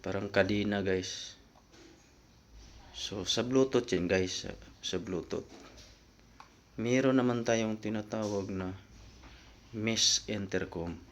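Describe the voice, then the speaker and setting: Low-voiced man explaining casually. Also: slow pace, long pauses, a little hiss or hum. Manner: informal, explanatory, often addressing viewers as guys. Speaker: vlogger. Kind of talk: casual explainer vlog talk.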